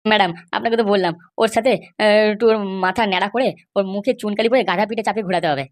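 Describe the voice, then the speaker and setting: A woman voicing a flattering, spiteful political sidekick in a comedy cartoon, fawning and cocky. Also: medium pitch, rapid-fire, natural voice.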